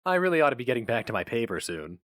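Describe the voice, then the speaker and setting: A man's voice: medium pitch, big pitch swings, rapid-fire, a bit bright, no echo, clean studio sound. A man voicing an urbane young male job applicant who is polite, eager and affectedly casual.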